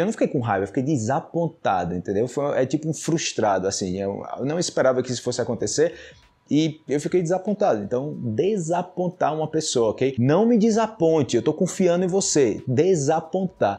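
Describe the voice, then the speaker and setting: A man in his thirties with a Brazilian Portuguese accent, teaching vocabulary to camera in a lively, gesturing way, recorded indoors on a small clip-on mic. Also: fairly high pitch, slightly rough. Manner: animated, gestures constantly, acts out example phrases. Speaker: language teacher. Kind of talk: energetic video lesson to camera. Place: small indoor home studio. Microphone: small mic clipped to shirt.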